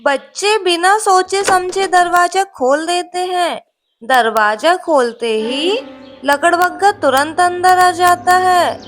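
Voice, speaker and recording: A woman with a very high voice narrates a children's fairy tale. Her delivery is slow and scripted, in storyteller fashion. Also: a bit bright, soft background music.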